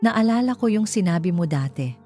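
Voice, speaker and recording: A woman's voice: on the low side, lively intonation, brisk, warm tone, soft background music. Woman reading as earnest man in love. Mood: affectionate. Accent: Filipino. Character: devoted, earnest male executive in love.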